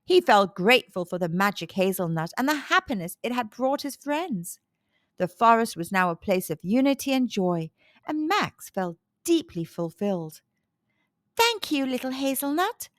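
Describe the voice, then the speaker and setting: A woman narrating a scripted children's storybook in an expressive, measured storytelling manner. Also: medium pitch, dry recording, clean studio sound.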